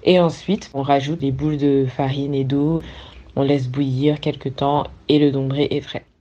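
A woman sharing a family recipe story in a hesitant way, with many filler pauses. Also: extremely low pitch, little pitch movement, mellow, lo-fi sound.

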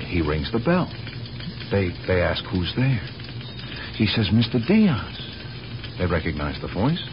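A methodical, insistent detective in a radio drama. His low voice moves widely in pitch as he questions and reasons things out deliberately. It comes from a muffled old recording with faint noise. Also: sing-song, music in the background. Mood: explanatory. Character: probing, methodical male police detective, patient but insistent. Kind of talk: 1970s radio drama acting.

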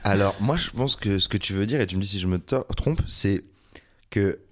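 A man's voice: fairly low pitch, moderate pace, a little hiss or hum. A man in a casual podcast chat, emphatic and joking as he lays out his views.

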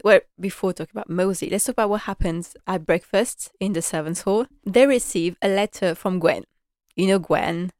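A woman's voice: fairly low pitch, smooth, no room sound, clean studio sound. Chatty, enthusiastic woman recapping episodes. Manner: chatty and enthusiastic, many "like" and "you know" fillers, laughs often. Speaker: podcast host recapping a TV drama.

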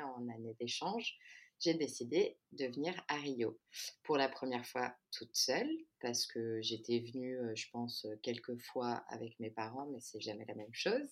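A woman's voice: extremely low pitch, slightly rough. A woman in her thirties, a podcast guest talking casually and stopping often with fillers and restarts. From France. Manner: hesitant, many fillers and restarts. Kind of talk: casual chat.

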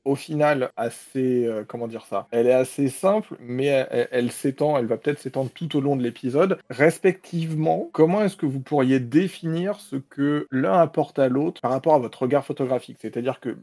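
A man's voice: medium pitch, brisk, hardly any pauses, warm tone. A young man hosting a podcast interview, asking long questions and rephrasing them with restarts. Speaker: podcast host.

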